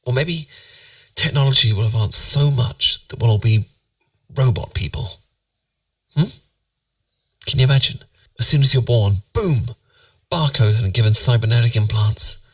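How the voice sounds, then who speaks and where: A British man playing a tender, playful boyfriend in a bedtime ASMR roleplay, musing softly and intimately to soothe his partner to sleep. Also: mid-range voice, normal speed, pauses often, no room sound, distant mic, close to an everyday voice. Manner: soft, intimate, soothing, musing, occasionally near whisper.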